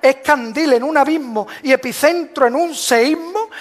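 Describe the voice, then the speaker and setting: A man's voice: extremely high pitch, moderate pace. Man, solemn, declamatory, rhymed devotional recitation, long reverb. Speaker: Holy Week proclaimer. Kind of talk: recited devotional verse proclamation.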